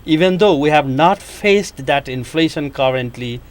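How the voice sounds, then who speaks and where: A man, answering interview questions as an economics professor in a measured, hesitant way. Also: on the high side, lively intonation. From Nepal. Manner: measured, hesitant, with frequent pauses and fillers. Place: university TV studio.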